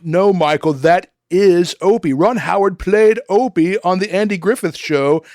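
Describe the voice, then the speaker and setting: A man acting as an earnest, decent and patient deputy executive in a comic radio drama, polite and gently corrective. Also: sits high, unhurried, no room sound, clean studio sound. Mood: patient, correcting.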